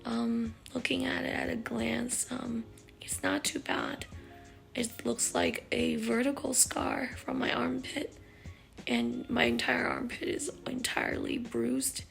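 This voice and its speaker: A woman vlogger giving a casual recovery check-in, with a raspy, sometimes unclear voice and a halting, filler-heavy way of talking. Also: on the high side, level tone, a bit bright, soft background music. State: recovering voice after neck surgery.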